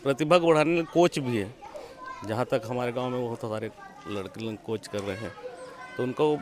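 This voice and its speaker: A man's voice: medium pitch, a little fast. A man answering informally as a villager in an outdoor interview. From village in Chhattisgarh, India. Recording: crowd chatter outdoors.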